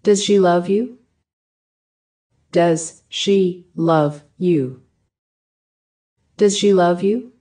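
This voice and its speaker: A woman reading short English phrases for a language lesson, each one repeated with careful, even enunciation. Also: fairly low pitch, extremely slow, long pauses. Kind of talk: slow repeated phrase drills.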